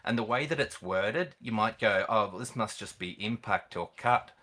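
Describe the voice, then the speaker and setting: Deep, bright-voiced man explaining game mechanics. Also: normal speed. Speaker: guest, experienced gamer and event organiser. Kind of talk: casual explanatory chat.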